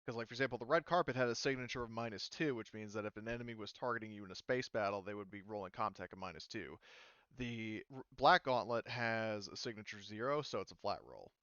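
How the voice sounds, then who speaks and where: Halting, clear-voiced man in dull audio. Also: fairly low pitch, a bit bright, no echo. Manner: halting, explanatory, hedging. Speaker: game master. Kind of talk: explaining tabletop game rules in casual chat.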